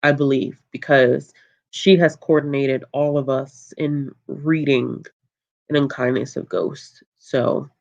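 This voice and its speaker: Calm, low-voiced book streamer. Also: slow pace, vocal fry, muffled recording. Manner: relaxed and chatty, laughs often, trails off with ums. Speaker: book channel host. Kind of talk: casual livestream chat, reading out viewer comments.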